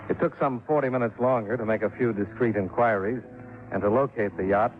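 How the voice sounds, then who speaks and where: A man's voice: on the low side, brisk, close to an everyday voice. A man playing a dry, wisecracking investigator. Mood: narrating. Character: wisecracking male insurance investigator, narrator. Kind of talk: 1950s radio drama narration and acting. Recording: tinny old radio broadcast with music.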